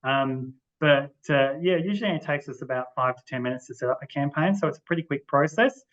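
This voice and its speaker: A man giving an informal explanatory presentation as a library manager, speaking haltingly and often rephrasing as he goes, over a video call. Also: fairly high pitch, fairly bright tone. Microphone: video-call microphone. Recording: muffled video-call audio.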